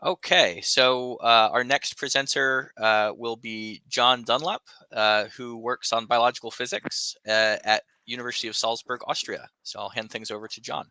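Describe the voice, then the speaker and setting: A man's voice: mid-range voice, fairly even intonation, moderate pace, bright, forceful tone, smooth, no echo. A man moderates an online colloquium over a video call, making polite, brief transitions between speakers. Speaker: session moderator.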